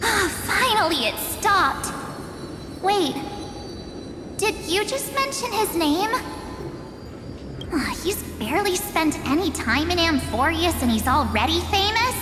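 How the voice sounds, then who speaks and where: Cute excitable young heroine, very high voice, anime game style, music behind. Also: female voice, slow, long pauses, roomy sound, big-room sound, full character voice. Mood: flustered, bubbly. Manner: exclamatory, animated, expressive. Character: bubbly, flustered young adventurer woman, cute and excitable.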